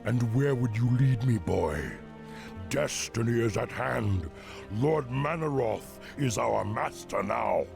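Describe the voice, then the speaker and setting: An actor playing a proud, fierce orc chieftain fallen to corruption, brooding and fatalistic, in a video game cutscene. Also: male voice, mid-range voice, expressive, over music, heavily acted. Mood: proud, bitter. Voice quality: gravelly. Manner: slow, brooding, fatalistic delivery.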